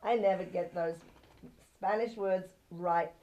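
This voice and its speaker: A woman's voice: fairly low pitch, unhurried, warm tone, lo-fi sound. A woman with a non-American English accent hosting a local TV talk show, bubbly and gushing as she interviews a guest and talks to viewers. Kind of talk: chatty TV interview.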